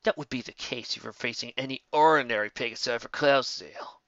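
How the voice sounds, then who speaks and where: A man's voice: mid-range voice, quick pace, a bit bright, no echo, lo-fi sound, natural voice. A man voicing a sinister pegasus stallion henchman in a story, casual, taunting and falsely innocent. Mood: smug menace.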